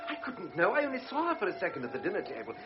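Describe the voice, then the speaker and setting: Young cavalry officer, stammering and apologetic, lo-fi radio drama. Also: male voice, high voice, wildly swooping pitch, rapid-fire, over music. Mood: distressed. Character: flustered young cavalry lieutenant, awkward and apologetic. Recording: dull old radio broadcast.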